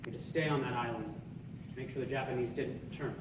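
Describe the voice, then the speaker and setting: A man's voice: mid-range voice, steady tone, fast talker, natural voice. Echoey, hearty army sergeant played by a man. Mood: storytelling. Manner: theatrical, projected delivery. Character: hearty American army sergeant telling a war story. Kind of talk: live stage radio drama, dramatic narration and dialogue. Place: live on stage in an opera house. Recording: very echoey theatre hall, distant mic, noisy.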